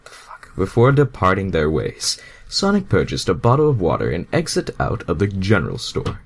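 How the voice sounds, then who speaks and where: A man's voice: deep voice, wildly swooping pitch, normal speed, gravelly. A man doing a casual amateur read-aloud of fan fiction, stumbling over the text and breaking into exasperated, foul-mouthed asides.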